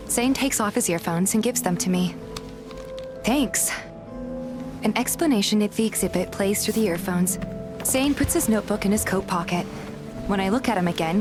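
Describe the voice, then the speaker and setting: A woman playing a curious, polite young game heroine, narrating in the first person and speaking her dialogue in an earnest, gentle manner. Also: mid-range voice, moderate pace, long pauses, over music, natural voice.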